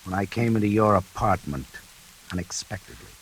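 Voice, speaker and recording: An actor playing a sinister night elevator operator in a classic radio suspense drama, laconic and coolly insinuating. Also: male voice, on the low side, fairly quick, vocal fry. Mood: insinuating menace. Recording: hissy, noisy old radio recording.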